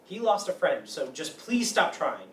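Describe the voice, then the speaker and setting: A man's voice: on the high side, big pitch swings, measured pace, a bit bright. An actor playing a bitter, neurotic man with an old grudge in a staged play reading, wry and hesitant. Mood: angry, bitter. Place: meeting room used for a staged reading. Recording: roomy, distant mic, faint noise.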